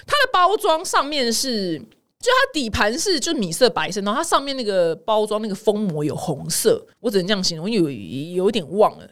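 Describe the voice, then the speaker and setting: A woman podcast host with a Taiwanese Mandarin accent and a very lively, swooping delivery. She chats casually and comically, leaning into dramatic exaggeration. The sound is dry and close, with no room to it. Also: medium pitch, dry recording. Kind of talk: casual comedic news chat.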